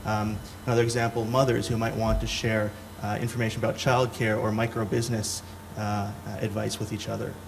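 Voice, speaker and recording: Halting, gravelly low-voiced man presenting. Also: little pitch movement, noisy background. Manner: halting, hesitant delivery. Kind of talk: live presentation.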